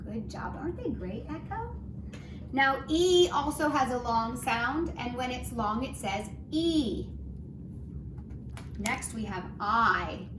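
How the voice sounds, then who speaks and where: Brief: encouraging woman teacher, a bit high, leading a kids' lesson; distant mic in an echoey room with background noise. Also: animated, slow. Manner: gentle, encouraging, singsong. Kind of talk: children's phonics lesson. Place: home. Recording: roomy, distant, noisy home recording.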